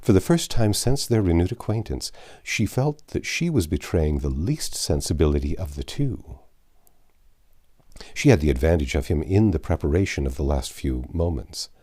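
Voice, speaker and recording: A man narrating a classic novel aloud as an audiobook, with measured, expressive storytelling and deliberate phrasing. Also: low voice. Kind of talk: literary novel reading, scripted narration.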